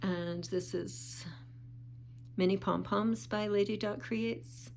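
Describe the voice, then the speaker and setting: A woman talking casually in a show-and-tell vlog, calm and unhurried with small pauses, sounding a bit sniffly. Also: mid-range voice, gravelly, a little hiss or hum. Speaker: vlogger.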